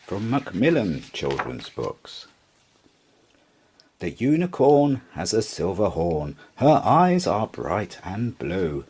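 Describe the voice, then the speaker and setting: A man reading a children's story aloud as rhythmic, singsong verse with expressive narration. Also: fairly low pitch, husky. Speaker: storybook reader.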